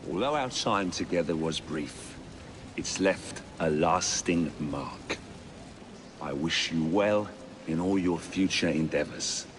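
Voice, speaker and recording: An actor playing a suave, menacing Victorian crime lord in a video game, formally reading out a letter and savoring each word. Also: male voice, low-pitched, noisy background.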